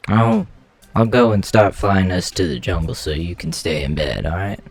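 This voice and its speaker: A man playing a gruff, protective right-hand man in an amateur comic dub, firm and caring in manner. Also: sits low, moderate pace. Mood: apologetic, caring. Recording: music behind the voice.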